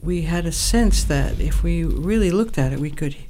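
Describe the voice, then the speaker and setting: A woman of sixty-eight explaining her work as an interview guest, in a measured, halting, thoughtful way. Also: fairly low pitch, animated, warm tone, slight background noise. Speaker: interview guest, co-founder of a women's program.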